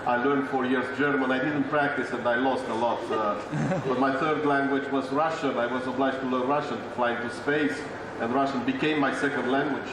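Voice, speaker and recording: A man with a mid-range voice speaks in a measured way, pausing on fillers. The sound rings around a very echoey hall, with loud noise underneath. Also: medium pitch, steady tone. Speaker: astronaut panelist. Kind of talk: panel answer. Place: large conference hall.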